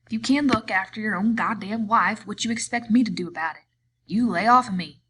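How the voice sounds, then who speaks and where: A woman's voice: fairly low pitch, little pitch movement, fast talker, sharp tone, rough recording. A woman reading aloud, voicing a firm, blunt ranch hand who takes charge. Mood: fed up.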